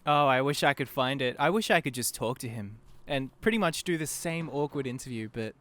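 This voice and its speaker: A man's voice: mid-range voice, brisk, a bit bright. A man chatting casually and informally as a guest on a podcast. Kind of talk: casual chat.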